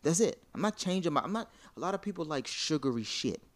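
A man's voice: a little high, animated, quick pace. A man arguing his point in a heated casual conversation, blunt and profane.

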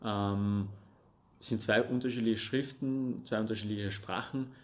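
A man's voice: fairly low pitch, fairly even intonation, unhurried. A young man from Russia, raised speaking Russian and Armenian, earnestly chatting as a podcast guest with frequent hesitations. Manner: earnest, talks with hesitant ums. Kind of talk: casual interview chat.

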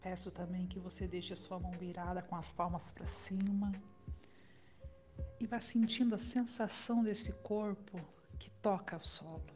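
A Brazilian woman guiding a relaxation meditation in a soft, soothing way, with long pauses and breathy phrase endings. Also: medium pitch, fairly even intonation. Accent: Brazilian Portuguese. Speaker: meditation guide. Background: background music.

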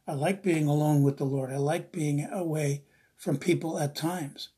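A preacher from the East Coast of the US with a very husky, rough-edged voice and a medium pitch. The delivery is informal and devotional, earnest and rambling, circling back to repeat key phrases. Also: fairly even intonation, normal speed, dark tone. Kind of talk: informal devotional Bible teaching.

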